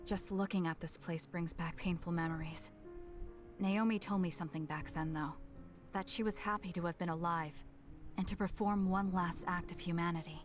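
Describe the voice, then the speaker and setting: Woman doing a young female soldier in a game scene, lowish voice, cool n resolute, clear music behind. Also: steady tone, normal speed, natural voice. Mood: somber, resolute. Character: composed, resolute young female soldier, guarded but caring. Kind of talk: dramatic video game cutscene acting. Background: background music.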